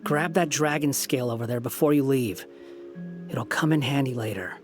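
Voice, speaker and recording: A man acts out an aloof young man, a reluctant game hero with a medium-pitched voice. He is sarcastic and dismissive, sighing with irritation over everyone around him. Music plays behind him. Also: normal speed, natural voice. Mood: scornful, curt. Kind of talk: English video game voice acting.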